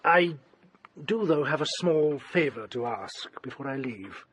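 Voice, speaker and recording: An actor playing a suave, insistent, sinister doctor in a radio drama, speaking in a formal, unctuous way. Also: male voice, mid-range voice, animated, measured pace, a bit bright, slight background noise. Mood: smooth, insistent. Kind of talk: British radio drama acting.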